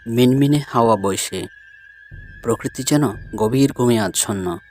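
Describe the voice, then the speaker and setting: A man's voice: mid-range voice, unhurried, a little mellow. Male storyteller narrating over prominent music. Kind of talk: scripted first-person ghost story narration. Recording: music bed behind the voice.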